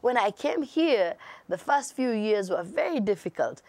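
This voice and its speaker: Kenyan-accented woman guest, expressive talker, dry close-miked voice. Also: mid-range voice, a little slow, no room sound. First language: Swahili. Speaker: guest artist. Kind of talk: interview answers.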